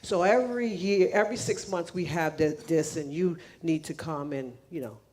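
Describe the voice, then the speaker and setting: A woman's voice: deep voice, normal speed, a little mellow. A woman making casual announcements to a church congregation, chatty and laughing, with frequent restarts, speaking English as a second language. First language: not English. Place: church sanctuary.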